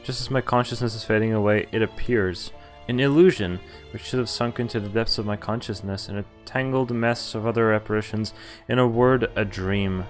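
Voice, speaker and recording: A man's voice: fairly low pitch, steady tone, a little fast. Man reading a visual novel aloud, music behind. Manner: dramatic read-aloud broken by incredulous asides. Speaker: game streamer. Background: game music.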